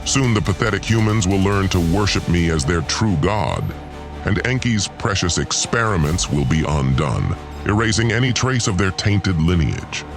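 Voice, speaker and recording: A man's voice: sits low, very animated, normal speed, over music. A man playing an imperious, gloating alien lord in an audio drama, with a pompous, commanding and menacing delivery. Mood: gloating, grandiose. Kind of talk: first-person audio drama villain.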